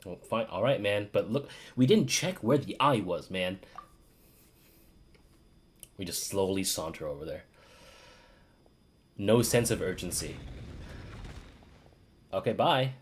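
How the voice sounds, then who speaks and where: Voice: sits low, expressive, slow, long pauses, a little hiss or hum. A game streamer giving casual live commentary, swearing freely and playfully, shifting between muttering and exclaiming. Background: game music.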